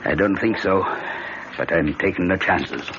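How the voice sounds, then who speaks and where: A sly Irish innkeeper in a vintage radio play. His voice sits fairly low, folksy and lilting but used to giving orders. The recording is dull, with a faint hiss. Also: measured pace. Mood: sly, conspiratorial. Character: sly, bossy Irish innkeeper and gun-running ringleader. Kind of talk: old-time radio drama acting. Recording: muffled old radio drama, hissy.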